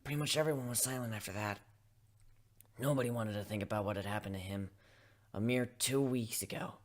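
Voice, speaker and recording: A man's voice: fairly low pitch, a little fast. A man reading first-person fan fiction aloud as a scripted dramatic narration. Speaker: story reader.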